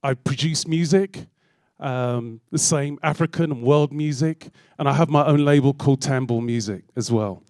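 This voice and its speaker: A middle-aged man from London speaks to a morning audience in a friendly, rambling way, pausing on ums. His accent is plain London. The sound is dry and close. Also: mid-range voice, darkish tone, no room sound. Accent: London English. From London, England. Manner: friendly, chatty, with frequent ums. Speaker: guest speaker. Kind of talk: live talk to an audience. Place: event hall with audience.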